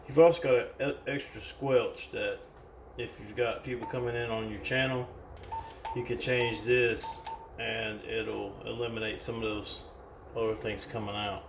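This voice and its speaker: A man's voice: on the low side, flat delivery, unhurried, gravelly. An American man giving a casual hands-on product demonstration in a plain-spoken, loosely improvised way. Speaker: product reviewer. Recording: roomy, distant mic with background noise.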